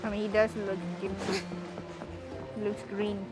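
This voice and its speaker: A woman game streamer giving casual live commentary, playful, teasing and quick to react. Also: medium pitch, monotone. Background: game music.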